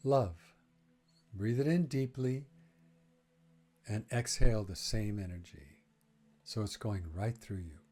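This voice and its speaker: A man leading a guided breathing meditation, speaking gently in short phrases with long, unhurried pauses. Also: lowish voice, soft background music.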